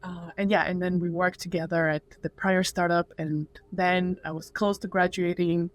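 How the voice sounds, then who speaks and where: A woman's voice: fairly low pitch, level tone, normal speed, dry recording. A woman in her twenties from Uzbekistan, talking casually with lots of "like" fillers as a cofounder guest. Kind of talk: casual podcast interview.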